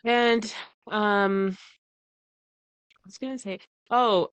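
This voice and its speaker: A woman's voice: medium pitch, fairly even intonation, drawn-out words, a bit bright, clear, resonant tone, no echo, dull audio. A young woman with a stuffy, congested voice from the flu, co-hosting a casual podcast, laughing often and talking loosely. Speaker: podcast co-host.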